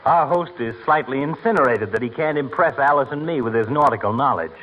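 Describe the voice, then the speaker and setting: An actor playing a genial, pompous, needling doctor in 1940s radio comedy on an old recording. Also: male voice, medium pitch, fairly quick, fairly bright tone, natural voice. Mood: teasing, genial. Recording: dull old radio recording, faint noise.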